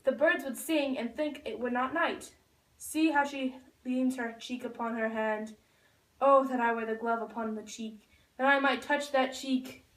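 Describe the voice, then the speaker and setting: A woman's voice: high-pitched, fairly even intonation, a little slow. A woman voicing a lovestruck young Romeo puppet, reciting ardent Shakespearean verse from a script in an amateur school show. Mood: adoring. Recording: echoey room, far from the mic.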